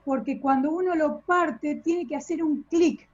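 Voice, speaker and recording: A woman's voice: high-pitched, measured pace. A woman with an Argentine accent patiently explaining medication details in a video call. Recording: dull lo-fi video call audio.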